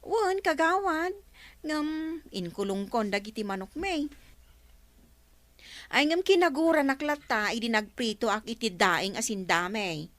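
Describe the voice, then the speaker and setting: High-voiced, plaintive Ilocano village woman. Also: expressive, a little slow, a bit bright, dry recording. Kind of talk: broadcast comedy radio drama acting.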